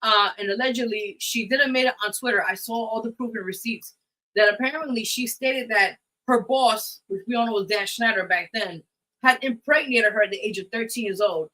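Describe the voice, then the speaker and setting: Bright, forceful New woman hosting radio. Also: a little high, fairly quick, rough recording. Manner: emphatic, blunt, swearing. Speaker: radio show host. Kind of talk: casual live talk show commentary.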